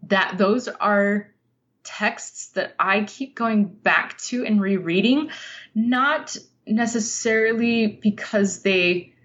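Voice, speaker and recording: An American woman from Utah speaking as an interview guest in a reflective, thoughtful way, often halting to search for words. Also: medium pitch, fairly bright tone, dull audio. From Utah, United States. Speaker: podcast guest, nonfiction writer.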